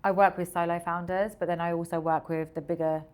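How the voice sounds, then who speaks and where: A woman's voice: on the low side, level tone, a little fast. A woman speaking casually as a founder being interviewed, telling things as stories and acting out quotes. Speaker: tech founder interviewed as guest.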